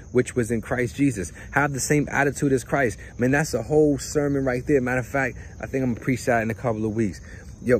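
A man's voice: on the low side, a little hiss or hum. A man in his thirties, a pastor, preaching a casual sermon outdoors by a lake, talking in a conversational way and often repeating words as he goes.

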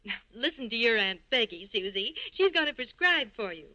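A woman playing a brisk, bossy, friendly young office worker in a 1940s radio ad, giving chirpy advice. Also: a little high, big pitch swings, bright, forceful tone, poor audio. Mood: teasing, eager. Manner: chirpy, advising.

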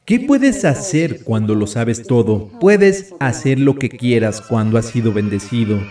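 A man's voice: mid-range voice, expressive, measured pace, mellow, recorded from a distance, close to an everyday voice. A man voicing a self-righteous psychopathic killer in a grave, sermonizing manner for a horror story. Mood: grave preaching. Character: self-righteous psychopathic killer preaching about ungrateful children.

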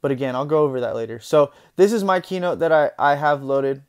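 A young man hosting a software tutorial, talking casually over his screen recording and often saying "boom" and "guys". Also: a little fast, clean tone. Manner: relaxed, conversational, says "boom" and "guys" often.

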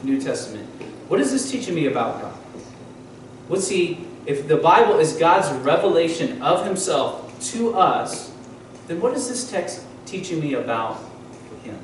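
A man in his thirties preaches at a church pulpit, asking his congregation questions as he teaches. His voice sits a little high for a man. It carries through a very echoey sanctuary, caught by a mic set some way off, with a faint background hiss. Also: lively intonation, normal speed. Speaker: pastor. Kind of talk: sermon. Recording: echoey church hall from far off.

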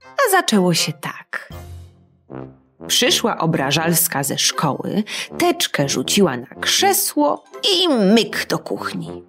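A woman narrating a children's audiobook in an expressive storytelling manner. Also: lowish voice, slow, music in the background. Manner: lively, animated storytelling.

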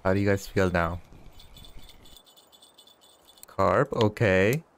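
A man's voice: deep voice, takes it slowly, a little hiss or hum. A man in his early thirties streaming a fishing game, chatting and teasing his viewers with frequent chuckles. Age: 30s. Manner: chuckles often, teasing banter with chat. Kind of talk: casual live-stream chat while gaming.

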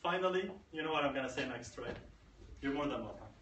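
A man's voice: mid-range voice, animated, fast talker, fairly bright tone. Emphatic man speaking in an echoey room. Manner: emphatic, repeating phrases for effect. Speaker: conference speaker. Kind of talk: motivational tech talk. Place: conference room. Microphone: far camera. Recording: echoey room, far from camera, faint noise.

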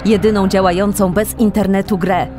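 A woman's voice: medium pitch, flat delivery, normal speed, over music. A woman narrating a children's radio play as a scripted storyteller.

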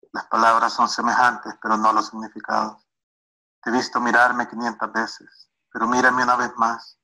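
A man's voice: on the low side, bright, forceful tone, low-quality recording. A man reading his own poem aloud, played back as a recording. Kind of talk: poetry reading.

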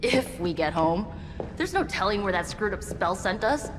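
A woman's voice: mid-range voice, animated, normal speed, noisy background, natural voice. An actress playing a defiant, sardonic young female hero in a video game cutscene, talking informally and punchily. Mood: sarcastic.

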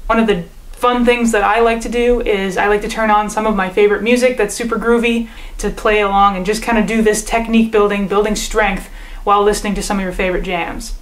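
A young woman calmly and patiently explaining a percussion technique, recorded at home by a camera a few feet away. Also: medium pitch, brisk, echoey room. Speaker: percussion teacher. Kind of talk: instructional demonstration. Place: living room at home.

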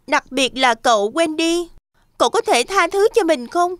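A woman's voice: very high-pitched, animated, normal speed, fairly bright tone, dry recording, studio quality. A woman voicing a small, emotional young fairy in a dubbed children's cartoon. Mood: ashamed, pleading.

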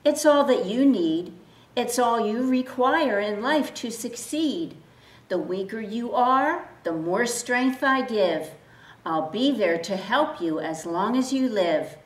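A gentle, wise bearded man speaks in a soft, calm, tender voice, read by a woman storyteller. The delivery is slow. The sound is roomy and set back from the mic. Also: fairly high pitch, distant mic.

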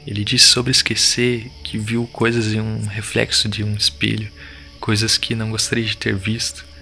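An actor playing a shaken, defiant village boy in an audio drama, speaking in urgent, halting slang. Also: fairly low pitch, completely flat delivery, vocal fry, bright, forceful tone, a little hiss or hum, full character voice. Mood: grim, urgent. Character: shaken, defiant village boy urging his friends to flee.

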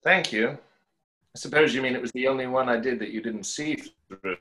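A young man acts an enigmatic, bitter conjurer in a stage play reading. His pitch is medium. The delivery is dry, deliberate and sardonic. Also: bright, forceful tone, lightly acted. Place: plain room at home, video call.

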